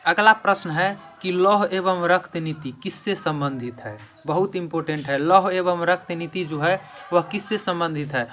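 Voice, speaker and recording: Guy doing exam quiz lesson, highish sharp voice, repeats stuff a lot, some noise behind him. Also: moderate pace. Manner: repetitive, emphatic restating of key points. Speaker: exam-prep teacher and video channel host. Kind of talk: quiz lesson, explaining question and answer. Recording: clear background noise.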